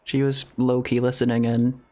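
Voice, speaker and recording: A man's voice: mid-range voice, flat delivery, moderate pace, warm tone. Chatty male streamer reacting to a game. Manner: chatty, musing. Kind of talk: casual live game commentary.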